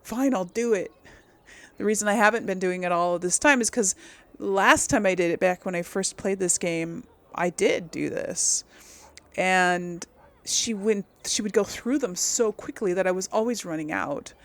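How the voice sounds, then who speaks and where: A woman's voice: mid-range voice, a little slow, a bit bright. A woman giving casual gameplay commentary as the host of her series, informal and conversational, thinking aloud as she goes. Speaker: video game streamer, host of a role-play series.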